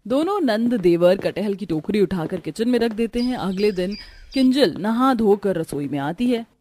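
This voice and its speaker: A woman's voice: medium pitch, animated, normal speed, warm tone, a little hiss or hum. A woman reading scripted narration for a cartoon family story. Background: music.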